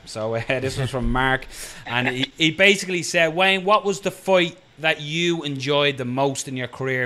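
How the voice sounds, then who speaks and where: A man's voice: medium pitch, pushed and bright. A man hosting a podcast, putting listener questions to his guests with hesitant, filler-filled lead-ins. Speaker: podcast host.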